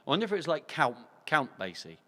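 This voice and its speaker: A man's voice: a little high, huge pitch swings, quick pace, pushed and bright. A man hosting a singing group livestream, chatting casually to viewers in a rambling, self-deprecating, jokey way, speaking into a talking mic at a home setup. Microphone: talking microphone beside a separate vocal mic.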